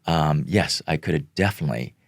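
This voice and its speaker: A middle-aged man from Vietnam, Vietnamese his first language, speaks in a very low, gravelly voice. He talks slowly and earnestly as an interview guest, with many pauses and fillers. The sound is dry and close. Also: lively intonation, dry recording. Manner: slow, earnest, pausing often with fillers. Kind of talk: reflective interview talk.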